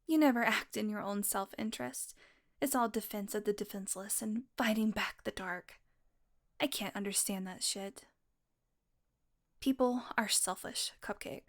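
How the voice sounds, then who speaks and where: A woman's voice: mid-range voice, moderate pace, lightly acted. A woman playing a sardonic, flirtatious female vampire in an intimate audio roleplay, with a sarcastic, playful, casually profane way of talking. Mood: bitter, exasperated.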